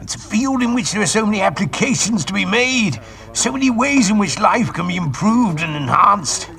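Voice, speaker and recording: A man's voice: sits high, sing-song, normal speed, creaky, pushed and bright, full character voice. A man playing a genial, enthusiastic retired aristocrat scientist in an audio drama.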